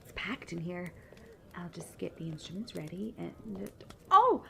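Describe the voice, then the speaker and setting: A woman playing a cheerful, polite young travelling bard who chats brightly and directly to the listener in a fantasy audio roleplay. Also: lowish voice, sing-song, unhurried, creaky, background noise, heavily acted. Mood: flustered, apologetic. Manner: chatty, bubbly, polite.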